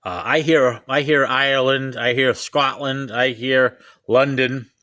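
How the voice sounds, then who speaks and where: A man with a bright, forceful voice talks casually, like a hobbyist hosting his own demonstration. He has a folksy, rambling manner and often circles back over his phrases. His pitch sits in a middle range. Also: fairly even intonation, unhurried. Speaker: CB radio hobbyist host. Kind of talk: casual chat and gear demonstration.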